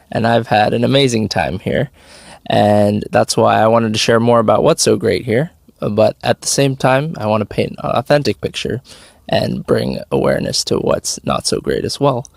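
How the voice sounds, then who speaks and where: A young man from India hosting a podcast, giving friendly, measured introductions to his guest. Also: lowish voice, lively intonation, gravelly, dry recording, studio quality. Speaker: podcast host.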